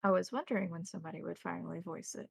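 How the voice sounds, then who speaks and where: A cryptic vampire elder, voiced by a woman game master speaks with formal, measured courtesy. The voice has a creaky edge and sits close and dry, as in a tabletop roleplay. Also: on the low side, steady tone, no room sound, heavily acted. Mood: polite, calm.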